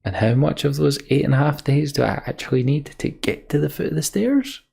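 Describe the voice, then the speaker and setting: A man's voice: expressive, brisk, dark tone. A man hosting a let's play, commenting on a game and reading its dialogue aloud. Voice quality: low, creaky.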